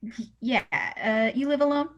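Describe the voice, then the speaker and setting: A woman's voice: high voice, steady tone, measured pace, dull audio. A woman playing a blunt young female veterinarian in a parody radio play, brusque and quizzing. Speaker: comedy radio-play actor.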